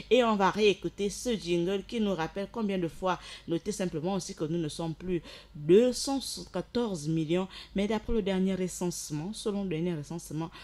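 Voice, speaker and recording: A woman hosting a radio show, explaining facts from her notes and sending loud greetings to listeners. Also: mid-range voice. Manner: explanatory, rises in energy for shout-outs. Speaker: radio host.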